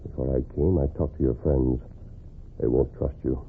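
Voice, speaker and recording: Masked cowboy hero, very low voice, firm, measured, righteous, noisy old radio western. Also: male voice, steady tone, creaky. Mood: firm, controlled. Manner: measured, stern, commanding. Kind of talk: 1940s radio western acting. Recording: tinny, noisy 1940s radio.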